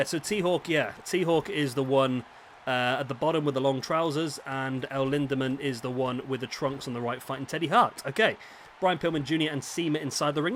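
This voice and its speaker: A man with a slightly rough, raspy throat, casually commentating a wrestling video game as the channel host, thinking aloud and correcting himself. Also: mid-range voice, a bit bright, a little hiss or hum. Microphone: headset microphone.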